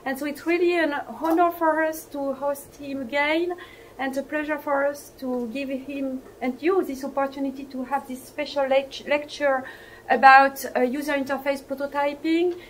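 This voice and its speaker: High-voiced woman introducing a lecture. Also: fairly even intonation, normal speed, rough recording. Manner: encouraging, repeats key points. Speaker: lecturer introducing a guest speaker. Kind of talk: spoken introduction to a university lecture. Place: lecture room. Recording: live lecture recording with background noise.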